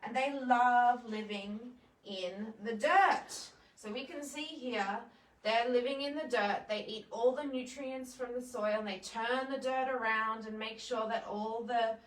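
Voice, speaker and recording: A woman's voice: on the high side, a little slow. A woman presenting a children's storytime, explaining things simply and putting questions to young viewers. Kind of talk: children's storytime with songs and read-alouds. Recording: roomy echo, distant microphone.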